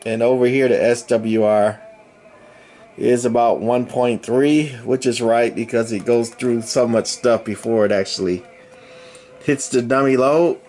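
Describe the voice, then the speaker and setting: A man giving a casual, unscripted hands-on demonstration of hobby radio gear, explaining it in a halting, matter-of-fact way. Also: mid-range voice, steady tone, darkish tone. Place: indoors at a home radio bench. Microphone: handheld camera mic, speaker behind camera.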